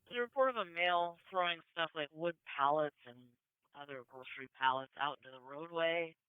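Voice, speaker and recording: A man's voice: on the high side, a little fast, clear, resonant tone, no room sound. A man working as a police dispatcher, reading out call bulletins over a two-way radio. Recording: tinny narrowband police radio feed.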